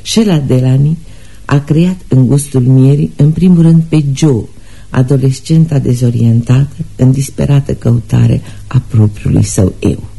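A man reading a scripted literary introduction to a radio play in a measured, formal, scholarly manner. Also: mid-range voice, vocal fry, dark tone, slightly rough, low-quality recording.

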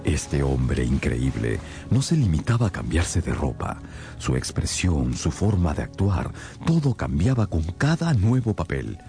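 An actor playing a loyal, gentlemanly companion who tells the story in a radio drama in a measured manner. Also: male voice, rumbling low, sing-song, creaky, exaggerated voice. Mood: measured, narrating. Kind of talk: radio drama narration and dialogue. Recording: prominent music behind the voice.

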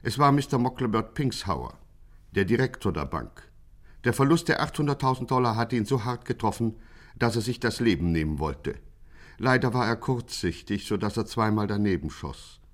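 A man narrating a satirical radio play in a measured, deadpan manner. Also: lowish voice.

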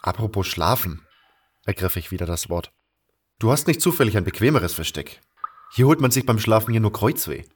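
A man's voice: fairly low pitch, animated, brisk, slight background noise, natural voice. A man playing an earnest, brooding adventurer who tells his own story in a fan audio drama. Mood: wry, curious. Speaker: narrator and lead actor in a fan audio drama. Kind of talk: first-person dramatic narration and dialogue.

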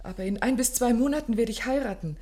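A woman's voice: fairly high pitch, quick pace, dark tone, close to an everyday voice. Anxious, genteel young woman played by an actress. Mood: pleading. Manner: formal, dramatic, pleading phrasing. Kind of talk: radio play acting.

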